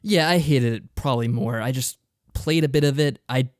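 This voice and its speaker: A man co-hosting a podcast, chatting casually in an agreeable, low-key way. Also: normal speed, smooth, dry recording, studio quality. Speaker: podcast co-host.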